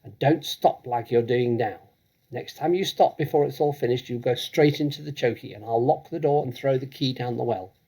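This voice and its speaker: Storybook headmistress, played by a man: a menacing bully, gravelly and rough-edged, barking out orders. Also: mid-range voice, moderate pace, warm tone. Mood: furious, impatient. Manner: bellowing, domineering commands. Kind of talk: storybook character acting.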